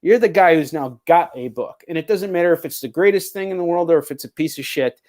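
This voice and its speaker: A man with a somewhat high-pitched voice hosts a casual chat show. He talks bluntly and loosely, rambling through his thoughts and swearing freely. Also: fast talker, darkish tone. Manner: rambling, heavily profane, blunt. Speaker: show host. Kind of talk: casual video chat interview.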